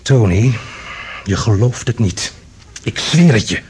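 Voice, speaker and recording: A man's voice: fairly low pitch, lively intonation, slow pace, a little mellow, husky, exaggerated voice. A male actor playing an agitated, earnest man bringing alarming news in a science fiction radio play. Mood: awed, grave. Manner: urgent, earnest, dramatic.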